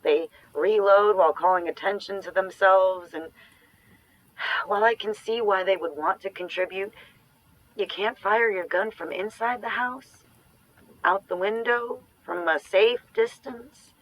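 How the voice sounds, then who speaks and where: A woman's voice: mid-range voice, a little slow, no room sound, lightly acted, rough recording. A woman acting an anxious, sardonic ex-girlfriend in a naturalistic audio drama, speaking in a dry, opinionated, conversational way. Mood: exasperated. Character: anxious, sardonic ex-girlfriend living through a zombie outbreak.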